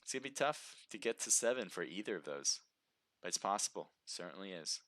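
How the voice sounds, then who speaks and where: Brief: man, deep bright voice, slightly hoarse, upbeat livestream game caster calling the action and greeting viewers. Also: sing-song, fairly quick, no room sound. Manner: chatty, upbeat, reads viewer names aloud. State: slightly hoarse, throat sore. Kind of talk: live esports-style play-by-play commentary.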